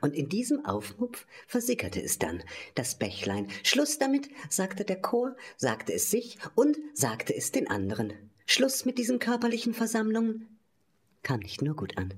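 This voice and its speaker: A woman's voice: medium pitch, wildly swooping pitch, normal speed, slightly rough. A woman narrating an audio drama in a theatrical, wry and musing way, often slipping towards a whisper.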